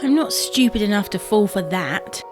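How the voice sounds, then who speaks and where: A woman's voice: medium pitch, expressive, moderate pace, over music, lightly acted. A woman playing a stubborn, exasperated wife in a domestic comedy audio drama, indignant and matter-of-fact.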